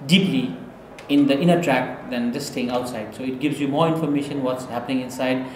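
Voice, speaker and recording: A man's voice: medium pitch, fairly even intonation, a little fast, noisy background. A man from Nepal, speaking earnestly as a government delegate in an interview, often restarting words. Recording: echoey room.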